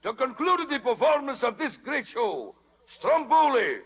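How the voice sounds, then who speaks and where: A man's voice: very high-pitched, very animated, unhurried, a bit bright, heavily acted. An actor playing a boastful puppet showman with an Italian accent, announcing pompously in 1930s radio style. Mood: bombastic, boastful. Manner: pompous, grandiose announcing with mangled foreign asides. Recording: raspy, dated 1930s radio broadcast.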